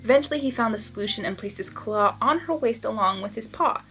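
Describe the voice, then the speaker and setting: A woman's voice: fairly high pitch, quick pace, a bit bright, faint music behind. A woman reading a story aloud as an amateur, with casual, giggly, self-deprecating asides and stumbles over words. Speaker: amateur fan fiction reader.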